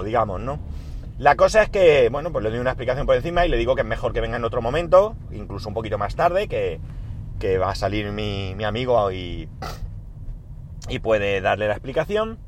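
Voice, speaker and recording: A man, speaking at a medium pitch, records a casual audio diary inside his car. His throat is sore, and he clears it now and then. He thinks out loud with plenty of fillers and stops to correct himself. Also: slight background noise. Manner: rambling, self-correcting, thinks aloud with many fillers. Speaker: podcast host recording a daily audio diary. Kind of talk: casual spoken diary monologue.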